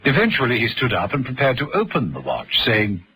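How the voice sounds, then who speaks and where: A man's voice: mid-range voice, huge pitch swings, a little fast, bright, forceful tone, rough recording. A man reading scripted radio drama narration in a dramatic storytelling manner.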